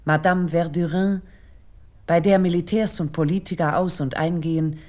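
A woman reading scripted literary commentary for radio in a measured, essayistic manner. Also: lowish voice, fairly even intonation, dark tone. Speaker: commentator narrator.

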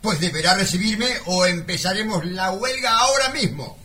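An actor playing an earnest, indignant workers' delegate with declamatory, pleading delivery in a comic radio play. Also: male voice, high voice, normal speed, bright, forceful tone. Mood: demanding. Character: impassioned, earnest male workers' delegate, indignant champion of miners.

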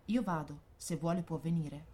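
An actress playing a dutiful, naive, robotic official escort in a dystopian science-fiction radio drama, formal and literal in manner. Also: female voice, low voice, moderate pace, mellow, natural voice. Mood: formal, matter-of-fact.

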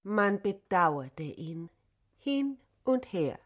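An actress playing a storyteller in a literary radio play, with drawn-out, expressive delivery. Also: female voice, medium pitch, exaggerated voice. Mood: wry.

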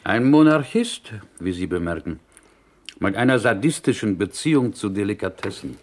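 A man's voice: on the low side, lively intonation, moderate pace. An actor playing a pious, dignified man from small-town Galicia in a radio play, solemn and formal. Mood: offended, dry. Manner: solemn, formal, wistful, Yiddish-tinged word order. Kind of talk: 1970s radio play acting.

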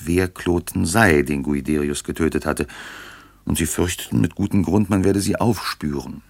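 A man's voice: deep voice, creaky, no echo. A man narrating a classical radio play from a script, as a composed storyteller.

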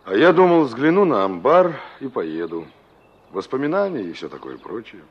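An actor playing a reflective, nostalgic young engineer in a Soviet radio drama, probing and expressive in his delivery. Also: medium pitch, moderate pace, slightly rough. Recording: muffled, noisy old radio drama recording.

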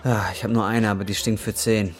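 A man's voice: on the low side, level tone, brisk, lightly acted. An actor in a radio drama playing a desperate, bitter drug courier in his twenties, coarse and weary. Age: 20s. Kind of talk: radio drama acting and first-person narration.

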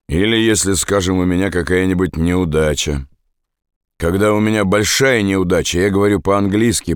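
A man voicing a tired, terse soldier in an audiobook, replying curtly and calmly. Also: low-pitched, smooth, no echo, studio quality, close to an everyday voice. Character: weary foreign soldier, terse and calm.

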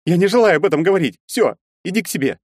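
A man's voice: fairly high pitch, big pitch swings, a little fast, dark tone, breathy edge, no room sound, voice pushed up. A man voicing a proud young woman who speaks in clipped words and breaks into sobbing pleas, in a dry, close recording. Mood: cold.

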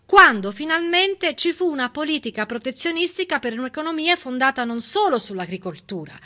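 A woman delivering a theatrical civic monologue in an emphatic, rhetorical, ironic manner, with pointed pauses. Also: sits high, big pitch swings, sharp tone. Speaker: stage monologist.

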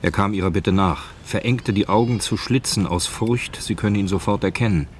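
Male narrator, very low voice, sober, measured, scripted radio drama, faint background ambience. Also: noisy background.

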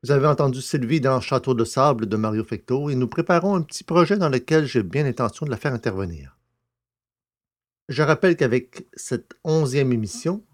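A man presenting scripted podcast announcements as the host. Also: mid-range voice.

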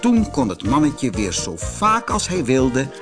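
A man narrating a children's storybook in an expressive, deliberate manner. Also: mid-range voice, over music.

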